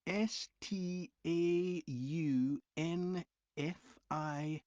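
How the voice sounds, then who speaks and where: An actor playing a polite train company representative asking survey questions in a scripted listening-test dialogue, clearly enunciated. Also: medium pitch, drawn-out words, darkish tone, no room sound, muffled recording. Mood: polite, inquiring.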